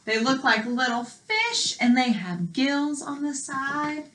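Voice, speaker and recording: Bright-voiced woman teaching young children. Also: high-pitched, lively intonation, slow, slight background noise. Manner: explanatory, child-directed, with simple wording and questions. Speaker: elementary school teacher. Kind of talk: read-aloud lesson for young children.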